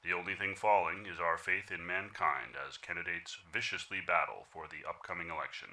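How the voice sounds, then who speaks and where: A man's voice: low voice, a little fast, bright, forceful tone, full character voice. A man playing a grave, formal evening news anchor in a radio play, with portentous announcer delivery. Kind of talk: radio news bulletin acting.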